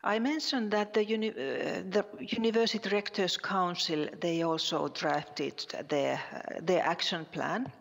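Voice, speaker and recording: A woman from Finland, giving a conference presentation in a halting way with many fillers and restarts. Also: fairly low pitch, creaky, in a large hall. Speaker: conference speaker.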